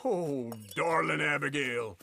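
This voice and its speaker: An actor playing a lazy, scheming old American cowboy in a Western video game, with a folksy, theatrical way of wheedling. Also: male voice, on the high side, slow pace, gravelly, sharp tone, exaggerated voice. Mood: scornful, mocking. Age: older.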